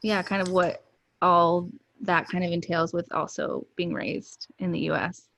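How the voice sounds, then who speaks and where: A woman's voice: on the low side, steady tone, unhurried, creaky, a bit bright, no echo, rough recording. A young woman from the United States hosting a podcast, chatty and casual, laughing easily. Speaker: podcast host. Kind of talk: casual conversation.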